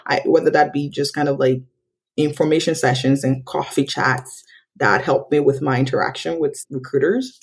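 A young woman from Nigeria, a podcast guest telling stories in casual conversation and acting out quoted dialogue with big jumps in pitch. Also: gravelly, darkish tone, breathy edge. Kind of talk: casual interview storytelling.

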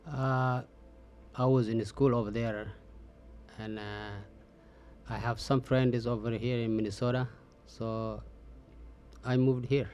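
Halting middle-aged man from Ethiopia. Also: mid-range voice, flat delivery, darkish tone, lo-fi sound. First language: Oromo. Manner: halting, frequent "uh" fillers, simple phrasing. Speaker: interview guest. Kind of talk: casual interview answers.

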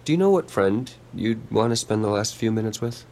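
Withdrawn sad guy, deep creaky voice, halting delivery, audio drama, some background noise. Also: lively intonation, warm tone. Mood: guarded, thoughtful. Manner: hesitant, halting, subdued. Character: withdrawn, melancholy, guarded lonely male app user. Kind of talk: naturalistic audio drama dialogue. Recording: noticeable background noise and ambience.

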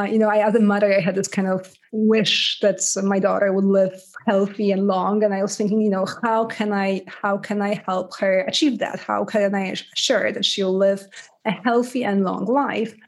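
A woman's voice: mid-range voice, little pitch movement, normal speed. A middle-aged woman from Poland, her first language Polish, answering interview questions in a casual way, often restarting her sentences. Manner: frequent restarts and filler, light laughs. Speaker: author, interview guest.

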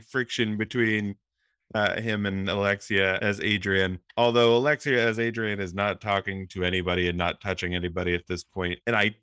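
A man's voice: on the low side, a bit bright, smooth, no room sound, clean studio sound. A man co-hosting a casual podcast, recapping a film's plot while musing and hedging aloud. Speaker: podcast co-host. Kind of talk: casual movie discussion.

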